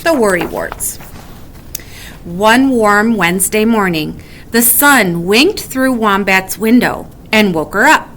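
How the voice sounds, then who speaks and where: A woman's voice: mid-range voice, lively intonation, slow pace, a bit bright, a little hiss or hum. A woman reading a children's picture book aloud as a storyteller, in bedtime-story narration. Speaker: storybook reader.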